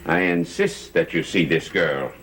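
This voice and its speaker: An actor playing a courteous, scheming gentleman in a gothic television soap, with a suave, formal, theatrical manner. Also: low-pitched, wildly swooping pitch, a little slow, gravelly, slight background noise, exaggerated voice. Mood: insistent, persuasive. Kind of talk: 1970s gothic TV soap opera acting. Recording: old TV soundtrack with music underneath.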